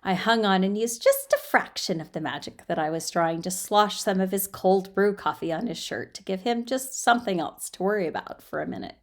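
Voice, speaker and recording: A woman reading a story aloud as an amateur narrator, in an expressive, emphatic storytelling manner with occasional stumbles over words. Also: mid-range voice, fairly quick, hardly any pauses. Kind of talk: dramatic audiobook-style fiction narration.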